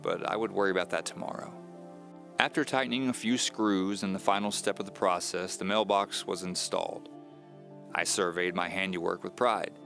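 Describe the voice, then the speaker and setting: A man's voice: low-pitched, steady tone, normal speed, creaky, fairly bright tone, soft background music. A man narrating a scripted first-person audio drama as the storyteller.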